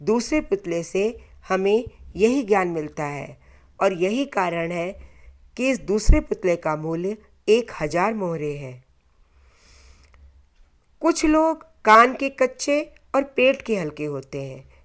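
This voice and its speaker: Slow-paced woman telling a moral tale. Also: medium pitch, big pitch swings. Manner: measured delivery in short, deliberate phrases. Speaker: storyteller. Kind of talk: scripted moral folktale narration.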